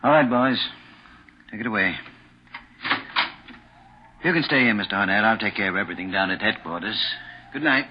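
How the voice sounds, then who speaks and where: An actor playing a solemn, matter-of-fact police officer in a 1940s radio thriller, plain-spoken. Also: male voice, fairly low pitch, expressive, normal speed, pauses often, slight background noise, close to an everyday voice. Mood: brisk, official. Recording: muffled 1940s radio broadcast, music behind.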